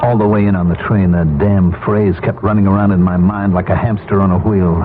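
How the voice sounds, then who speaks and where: Harried, troubled husband, played by an actor, 1970s radio drama, fairly low pitch, thin phone-like sound. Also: male voice, flat delivery, fairly quick, close to an everyday voice. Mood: brooding confession. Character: guilt-ridden, harried family man narrating his troubles. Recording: tinny 1970s radio recording with music.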